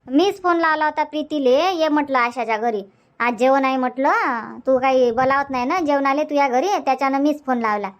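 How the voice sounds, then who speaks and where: Casting: woman to play cartoon village auntie, high-pitched, bossy and talkative, Varhadi Marathi accent. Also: fairly quick, natural voice. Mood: teasing, demanding. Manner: chatty, insistent, repeats herself. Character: bossy, sociable village auntie organizing her friends. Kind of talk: cartoon comedy dubbing.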